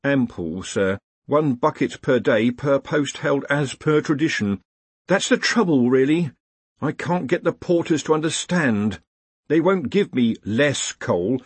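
A man's voice: fairly high pitch, husky, no echo, pitched above the performer's own voice. A man voicing an earnest young academic wizard in an audiobook, hesitant and deferential as he carefully explains. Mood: apologetic.

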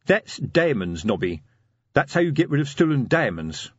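A man's voice: mid-range voice, sing-song, moderate pace, slightly rough, dry recording, dull audio, voice pushed up. A man voicing a gloomy veteran police sergeant in an audiobook story, grumbling ponderously. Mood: doubtful.

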